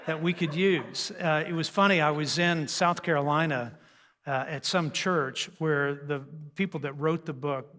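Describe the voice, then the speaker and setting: Older male seminar speaker, conversational and humorous, higher-set voice, big reverberant room. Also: steady tone, measured pace, sharp tone. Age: 60s or older. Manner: folksy, conversational, joking, self-deprecating. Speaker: speaker on raising teens, radio host. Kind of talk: humorous seminar talk to a church audience. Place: large church hall, speaking to an audience. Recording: live hall with long reverb.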